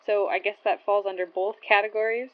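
A woman's voice: medium pitch, little pitch movement, fairly quick, phone-quality sound, natural voice. A woman playing a seasoned, friendly field investigator with a casual, matter-of-fact manner, in a naturalistic audio drama.

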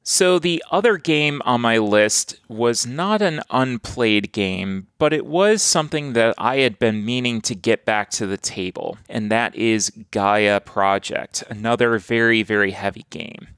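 A man's voice: mid-range voice, a little slow, few pauses, a bit bright, clear, resonant tone, no room sound, clean studio sound. A man talking through a board game review in a plain, earnest, matter-of-fact way. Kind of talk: casual solo review.

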